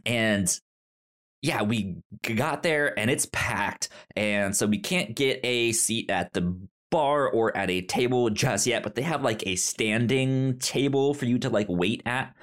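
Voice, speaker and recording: A man's voice: mid-range voice, a little slow, a bit bright. A young man hosting a podcast, telling a story in casual chat and often stammering over repeated syllables and words. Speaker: podcast host.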